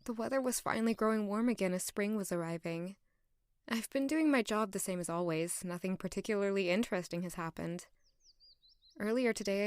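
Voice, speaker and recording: An actress playing a caring, sociable young maid in an audio drama, speaking softly and earnestly in dialogue and reflective narration. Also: female voice, medium pitch, clear, resonant tone, no room sound, close to an everyday voice. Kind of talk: audio drama acting and first-person narration.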